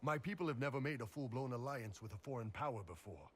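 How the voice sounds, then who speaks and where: An actor as a blunt mercenary captain, some noise. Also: male voice, medium pitch, animated, fast, lightly acted. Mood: businesslike. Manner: blunt, informal. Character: big, blunt, easygoing mercenary warrior captain. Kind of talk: video game dialogue acting.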